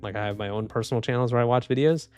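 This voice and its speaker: A man live streaming and reading chat, speaking softly and calmly with frequent restarts. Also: medium pitch, rapid-fire. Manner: soft-spoken, calm, trailing off with restarts and small laughs. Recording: dry close mic, faint music underneath.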